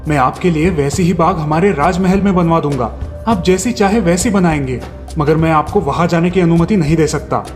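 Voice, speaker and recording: A man's voice: fairly high pitch, normal speed, in a large hall, soft background music, lightly acted. A man voicing a cautious, protective king and father in a storybook tale. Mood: forbidding, protective. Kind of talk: storybook dialogue.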